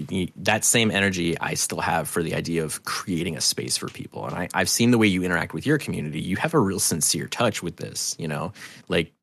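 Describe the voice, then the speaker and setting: A man's voice: low-pitched, a bit bright, dry recording. A middle-aged man talking casually and candidly as a podcast guest, earnest and rambling, with restarts and loose swearing. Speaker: podcast guest, audio drama creator.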